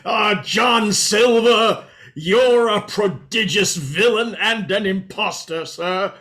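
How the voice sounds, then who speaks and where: Man acting stern, high-pitched indignant gentleman. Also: slow pace, exaggerated voice. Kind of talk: radio play reading, formal period speech.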